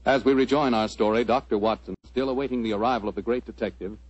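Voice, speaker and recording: A man's voice: medium pitch, steady tone, fast talker, darkish tone, breathy edge, no room sound. A man announcing in 1940s radio style, reading sponsor copy and scripted narration with a salesmanlike delivery. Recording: muffled vintage 1940s radio recording.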